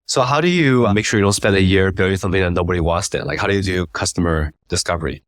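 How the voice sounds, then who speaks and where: A man's voice: sits low, fast talker, dry recording, clean studio sound. A man hosting a casual interview, talking in an informal, loosely phrased conversational way. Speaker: interviewer, podcast host.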